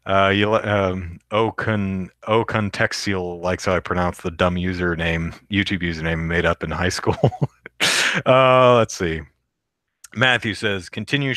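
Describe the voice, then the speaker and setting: Man, deep voice, bright tone, dry close sound, casual rambling host. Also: steady tone, a little slow, no echo. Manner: rambling, lots of fillers and restarts. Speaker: podcast host reading chat. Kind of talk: casual live-stream chat.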